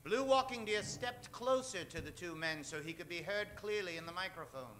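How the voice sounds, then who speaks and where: A man hosting and announcing in theatrical old-time radio style, dramatic, drawn-out and emphatic. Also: high-pitched, animated, bright, forceful tone. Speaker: host and announcer.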